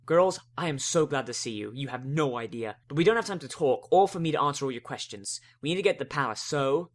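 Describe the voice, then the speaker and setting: A man's voice: medium pitch, expressive, fast, natural voice. A man voicing an earnest, brainy young female unicorn pony in a clipped, urgent manner. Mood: urgent, hurried.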